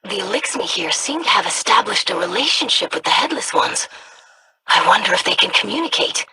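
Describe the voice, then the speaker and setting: A performer voicing an earnest, studious scribe in a video game, speaking in a measured, scholarly manner. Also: mid-range voice, very animated, bright, forceful tone, breathy edge, heavily acted, rough recording. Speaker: scribe investigating monsters.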